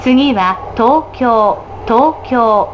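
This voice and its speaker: A woman's voice: lively intonation, slow, dull audio. Lilting woman announcer inside a noisy train. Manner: polite, formal, clearly enunciated. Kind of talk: scripted automated train announcement. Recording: clear train noise throughout. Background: rumble of a moving train carriage.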